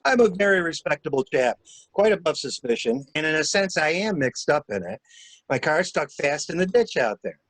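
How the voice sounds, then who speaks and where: A man in an amateur mystery drama reading, playing a cool-headed gentleman stranger who briskly and wryly takes charge of a cover-up. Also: on the high side, big pitch swings, no echo, low-quality recording. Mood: cool, planning.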